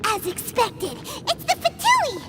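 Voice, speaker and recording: A tiny, cheeky fairy companion from a video game. The voice is squeaky, childlike and extremely high, bouncing up and down in a chirpy way. Loud background noise sits behind it. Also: female voice, wildly swooping pitch, takes it slowly, heavily acted. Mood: alarmed. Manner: squeaky, chirpy, refers to self in third person.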